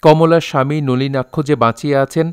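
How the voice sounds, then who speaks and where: A man's voice: a little high, fairly even intonation, fast, darkish tone, clean tone. A man narrating an audio drama in a formal, literary manner.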